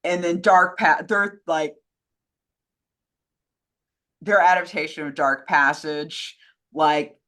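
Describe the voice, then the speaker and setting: A woman's voice: sits low, slow pace, pauses often, a bit bright. A woman in casual film and radio chat, informal and opinionated, rambling with lots of "like". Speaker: co-host answering fan questions.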